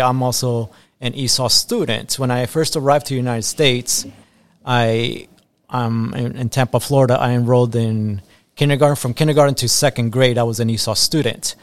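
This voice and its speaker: Earnest guy in his 30s, assistant principal on a school podcast, lots of ums, repeats himself, middle pitch. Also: fairly even intonation, moderate pace, no room sound. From Ecuador.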